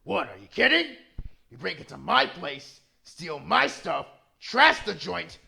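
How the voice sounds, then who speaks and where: Thuggish bulldog gangster, blustering, bright forceful tone, swooping pitch, video game villain. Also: male voice, big pitch swings, gravelly, exaggerated voice. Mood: indignant, bullying. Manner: blustering, drawn-out indignant tough-guy talk. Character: big thuggish bulldog gangster, loud and bullying.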